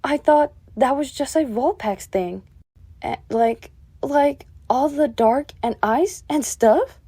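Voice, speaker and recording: A young vixen creature acted by a woman with a fairly high voice and very slow pacing. She sounds hesitant, emotional and a little whiny, a moody cartoon heroine unsure of herself. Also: dark tone, no echo. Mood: confused. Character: young female fox creature, moody, lost amnesiac. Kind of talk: animated comic dub acting.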